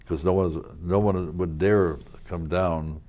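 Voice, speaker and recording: An older man, a local historical reenactor, telling rambling stories in a casual interview, with a loud voice and many fillers and restarts. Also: low voice, fairly even intonation, a little slow. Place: community TV studio.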